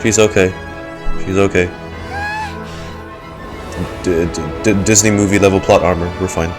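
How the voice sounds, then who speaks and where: A man's voice: low voice, fairly even intonation, unhurried. A man giving live game reaction commentary, stammering and repeating words anxiously. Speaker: streamer reacting to a game story. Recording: game music behind voice. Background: loud game music.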